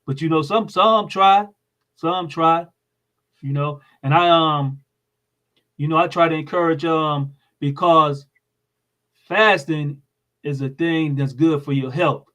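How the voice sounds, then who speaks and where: A man's voice: on the high side, steady tone, takes it slowly, pauses often, sharp tone. An American man speaking as a guest in an interview, explaining things in a plain-spoken, informal way. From United States.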